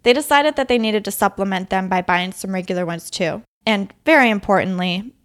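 A woman's voice: mid-range voice, a little fast, a bit bright. A woman hosting a podcast, reading scripted narration that tells a story. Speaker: podcast host and interviewer.

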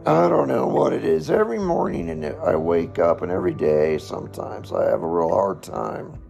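A man hosting a solo podcast, reflecting in a slow, halting way with a hoarse, raspy voice. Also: low voice, sing-song, warm tone, soft background music. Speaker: podcast host.

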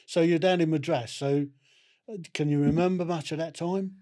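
A man's voice: a little high, steady tone, moderate pace, dark tone. A male podcast host who reads a scripted introduction and then asks friendly, brief questions, with an occasional light joke.

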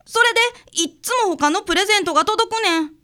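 A woman's voice: extremely high pitch, fast talker, bright, forceful tone. A woman playing a sincere, insistent second-grade girl in a radio drama, speaking childlike Kansai dialect. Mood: earnest. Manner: childlike, earnest, matter-of-fact. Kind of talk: community radio drama acting.

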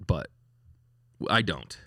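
A man's voice: very slow, sharp tone. A man hosting a casual sports podcast who rambles with lots of restarts and laughs easily. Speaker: podcast host.

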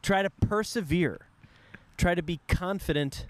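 A young man co-hosting a podcast, wry and deadpan in casual banter. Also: sits high, measured pace. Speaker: podcast co-host.

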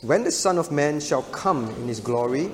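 A man's voice: fairly high pitch, expressive, normal speed, a little hiss or hum. Emphatic male preacher in an echoing hall. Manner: didactic, emphatic, asks rhetorical questions. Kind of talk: expository sermon delivered in short phrases for an interpreter. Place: large reverberant room, preaching to a congregation. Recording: reverberant hall sound.

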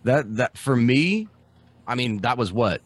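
A man co-hosting a podcast in casual chat, loud and excitable, swearing freely. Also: mid-range voice, a little slow. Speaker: podcast co-host.

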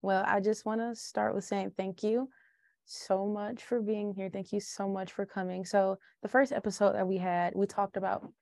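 A young woman speaks as a podcast host in an informal, conversational way. Her pitch is average for a woman, and the recording is dry with very little room sound. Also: level tone, moderate pace, gravelly, darkish tone, no echo. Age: young adult. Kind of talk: conversational interview.

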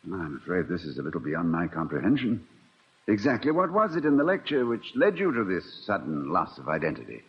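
An actor playing a witty, wry middle-aged college president in 1950s radio comedy, speaking in dry, erudite, formal phrases. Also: male voice, deep voice, wildly swooping pitch, a little fast, full character voice. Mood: puzzled, courteous. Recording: muffled vintage radio recording.

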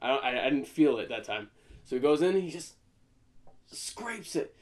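A man's voice: mid-range voice, animated, moderate pace. A young man co-hosting a casual podcast, joking around with his friend, often stammering and restarting his sentences. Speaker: podcast co-host. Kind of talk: casual banter.

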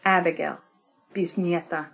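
A woman giving an expressive reading of literary verse, with music behind her. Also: fairly low pitch, measured pace, gravelly, slightly rough. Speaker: poetry reader.

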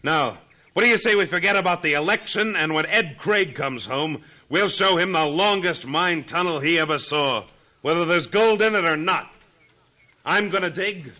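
A man's voice: on the high side, moderate pace, pushed and bright. An actor playing a steadfast, commanding foreman in a radio western, with a firm, challenging way of speaking. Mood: rousing. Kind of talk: old-time radio western acting.

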